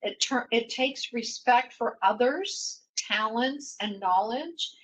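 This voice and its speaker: Woman from Mexico, native Spanish speaker, middle-aged, panelist, measured, reflective. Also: on the high side, animated, a little hiss or hum, low-quality recording. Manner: thoughtful, measured, with fillers and restarts. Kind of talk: panel discussion.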